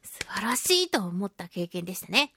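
A woman's voice: mid-range voice, big pitch swings, moderate pace, bright, forceful tone, breathy edge, no echo, studio quality. A woman hosting a radio show, telling stories casually and animatedly, acting out quoted remarks. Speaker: radio show host, voice actress. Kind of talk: casual radio talk, storytelling.

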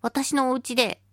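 A woman's voice: high voice, fairly bright tone, no echo, clean studio sound. A woman hosting a casual solo radio talk, speaking expressively with giggly asides and little sound effects. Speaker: radio show host, voice actress.

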